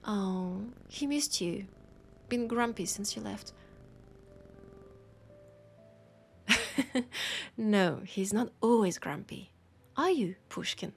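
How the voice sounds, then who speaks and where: A woman with a French accent playing a teasing, warm bookshop keeper in an intimate audio roleplay, soft and playful, often slipping toward a whisper. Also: mid-range voice, lively intonation, slow, gravelly, a little hiss or hum. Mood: playful, fond. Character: teasing, warm young-sounding human bookshop keeper, chatting to vampire.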